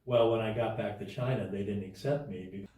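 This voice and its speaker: A man telling his life story as an interview guest in a casual, halting way, with many restarts and fillers. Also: lowish voice, dark tone, heavy reverb, recorded from a distance.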